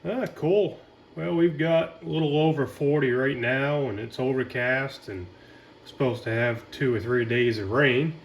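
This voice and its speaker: A man's voice: mid-range voice, unhurried. Male ham operator, casual, rambling radio chat, light background hiss. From Maine. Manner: chatty, rambling, relaxed.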